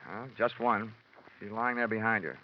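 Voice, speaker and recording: An American man playing a wry, deadpan hardboiled insurance investigator in a 1950s-style radio detective drama. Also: fairly low pitch, moderate pace, a little hiss or hum, natural voice. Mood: wry, defensive. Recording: muffled old radio broadcast.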